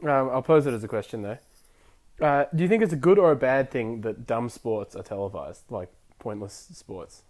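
A man's voice: mid-range voice, expressive, moderate pace, a little mellow. An Australian man co-hosting a podcast, chatting informally with friends. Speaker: podcast co-host. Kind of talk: casual banter among friends.

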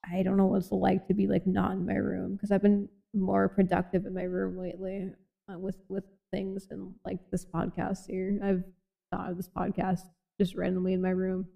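A woman's voice: medium pitch, monotone, moderate pace, creaky, muffled recording. A young woman from Boston with a light Boston accent, hosting a casual podcast chat and rambling with many fillers. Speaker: podcast host.

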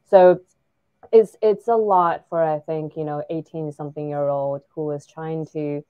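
A woman's voice: deep voice, steady tone, unhurried, mellow, smooth, dry recording, dull audio. A woman in her forties from China, a startup founder talking thoughtfully as an interview guest, with many fillers and restarts. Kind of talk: casual interview conversation.

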